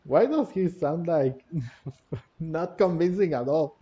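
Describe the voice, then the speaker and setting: A man's voice: on the high side, expressive, slow pace, dark tone, muffled recording. A man giving casual, giggly commentary as he plays a video game, sometimes reading dialogue aloud. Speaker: gamer commenting on a playthrough.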